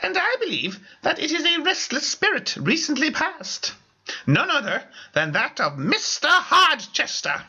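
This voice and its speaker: A man voicing a theatrical female spirit medium in a comic story, in shrill, dramatic incantation. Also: huge pitch swings, measured pace, bright, forceful tone, lo-fi sound, exaggerated voice. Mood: solemn, dramatic. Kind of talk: comic ghost story reading.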